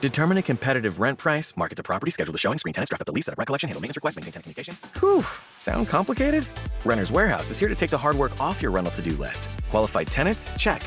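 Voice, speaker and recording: A man reads a conversational commercial at a brisk pace. His pitch swoops very widely, with faint music underneath. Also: huge pitch swings, fairly bright tone. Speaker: advertising voice.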